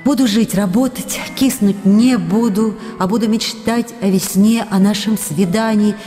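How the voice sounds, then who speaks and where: A woman's voice: mid-range voice, measured pace, mellow, lightly acted. An actress playing a young woman writing tender, longing love letters, read in an intimate, emotional way, with faint music behind. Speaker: letter reader. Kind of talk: radio drama letter reading. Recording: reverberant studio with faint music.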